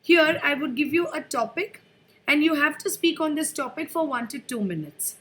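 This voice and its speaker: A woman examiner asking formal speaking-test questions in a brisk manner. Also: high voice, lively intonation, fairly bright tone, a little hiss or hum.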